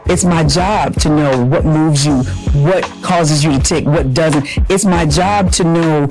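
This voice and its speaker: A woman's voice: sits low, unhurried, low-quality recording. Emphatic woman giving advice over music. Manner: emphatic, advising. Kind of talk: earnest commentary.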